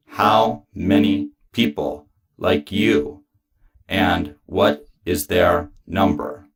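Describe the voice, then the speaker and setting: A man with a very deep voice recites poetry at a slow pace. His delivery is flat and official, laid out in clipped phrases like a form being read aloud. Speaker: poetry reader.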